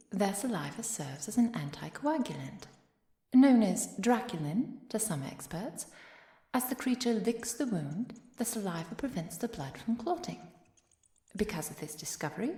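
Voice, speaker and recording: A woman playing a composed, caring professor and vampire hunter in an ASMR roleplay, speaking softly and precisely, often near a whisper. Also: mid-range voice, sing-song, husky, no echo. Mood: scholarly lecturing. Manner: measured, precise, softly commanding.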